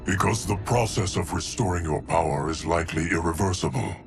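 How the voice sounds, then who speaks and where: An actor playing a calm, dutiful steward of time in a fantasy game, formal, deliberate and stoic. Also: male voice, low-pitched, gravelly, music in the background. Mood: solemn. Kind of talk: dramatic video game acting.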